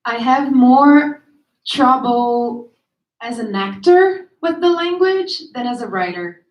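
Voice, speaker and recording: Woman actor-writer, higher voice, candid and earnest; echoey room sound. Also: expressive, slow pace, dull audio. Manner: earnest, self-conscious about her speech. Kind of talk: candid panel answer. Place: event room at a literary gathering.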